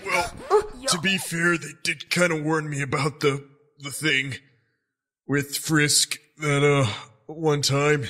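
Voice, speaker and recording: A man voicing an unbothered, joking skeleton in a cartoon dub, casual and halting. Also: fairly high pitch, flat delivery, bright, forceful tone, faint music behind, full character voice. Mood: awkward, sheepish. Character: laid-back wisecracking skeleton, awkward and unbothered. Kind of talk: cartoon comic dub acting.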